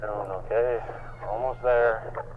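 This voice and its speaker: A man playing a casual guy who haltingly reassures a companion, in naturalistic found-footage horror style. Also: mid-range voice, fairly even intonation, natural voice. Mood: reassuring. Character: young man leading a companion through dark woods, reassuring. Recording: muffled, noisy camcorder-style audio.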